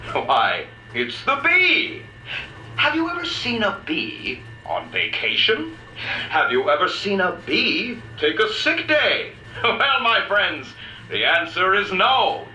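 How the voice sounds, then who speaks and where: A pompous, sermonizing factory boss. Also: male voice, high-pitched, wildly swooping pitch, slow, pushed and bright, roomy sound, slight background noise, heavily acted, poor audio. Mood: preachy, jovial. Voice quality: showman-like, sermonizing, chuckling. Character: pompous folksy industrialist man, preaching to workers. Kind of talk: recorded motivational speech.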